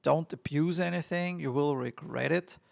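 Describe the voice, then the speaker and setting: A man with an Austrian accent talks straight to his viewers, earnest and encouraging, circling back to his points with plenty of fillers. His voice sits a little high and has a gravelly, fry-tinged edge. The recording sounds dull and lo-fi. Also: normal speed. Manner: earnest and encouraging, repeats himself, frequent fillers. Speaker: immigration vlogger. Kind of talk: advice monologue to camera.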